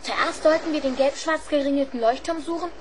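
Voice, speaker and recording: An actor playing a bright, polite schoolboy inventor in a children's radio play. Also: high voice, a little fast, a little hiss or hum, natural voice.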